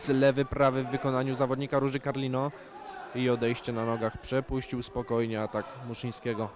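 Flat-voiced man calling boxing over a crowd. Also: medium pitch. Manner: calm, measured play-by-play with technical analysis. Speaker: boxing commentator. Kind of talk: live sports commentary. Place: boxing event venue. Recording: loud crowd in a venue.

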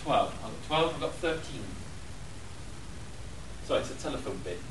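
A man running a charity auction with jokey, quick patter, calling out bids to a crowd. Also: mid-range voice, background noise. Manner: lively, self-mocking, rattles off bids. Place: hotel function room at a convention. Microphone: far room camera. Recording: echoey room, distant camera.

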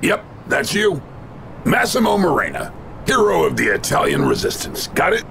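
Casting: cocky game action hero, gravelly, deadpan quips, highly varied pitch. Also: male voice, moderate pace, slight background noise, heavily acted. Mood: grave, resolute. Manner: deadpan, quipping. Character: cocky, wisecracking tough soldier hero, adult man. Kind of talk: video game voice acting.

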